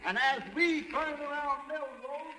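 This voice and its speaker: High-pitched tour bus conductor. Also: male voice, fairly even intonation, measured pace, bright, forceful tone, heavily acted. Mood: brisk announcing. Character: loud sightseeing bus tour conductor calling out sights. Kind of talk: 1930s radio advertisement sketch, announcing. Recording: noisy, reverberant 1930s radio recording.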